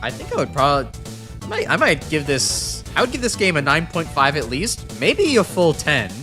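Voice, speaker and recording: A man's voice: on the high side, huge pitch swings, moderate pace. Congested man rambling over game music. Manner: rambling, self-correcting, frequent fillers. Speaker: gaming streamer. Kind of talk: casual gameplay commentary.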